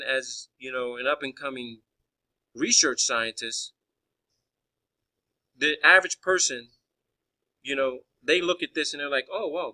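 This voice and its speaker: A man's voice: mid-range voice, bright, forceful tone. A man arguing in a phone conversation as a caller, pressing his points insistently and often restarting his phrases. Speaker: caller questioning a space agency official.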